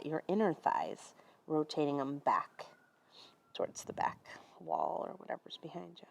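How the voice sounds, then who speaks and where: Low-pitched, creaky-voiced woman teaching yoga. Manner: gentle, unhurried, conversational cueing. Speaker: yoga instructor. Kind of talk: guided yoga class instruction.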